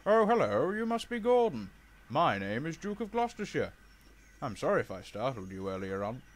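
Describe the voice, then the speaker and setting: A man's voice: medium pitch, sing-song, normal speed, slight background noise, natural voice. A man voicing a confident, polite and cheerful express engine in a children's storybook, with an eager, courteous manner. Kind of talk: children's railway storybook character voice.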